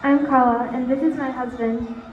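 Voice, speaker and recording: A woman's voice: high voice, fairly even intonation, moderate pace, close to an everyday voice. A woman playing an earnest, apologetic refugee in an amateur student radio drama. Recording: muffled echoey room with crowd babble.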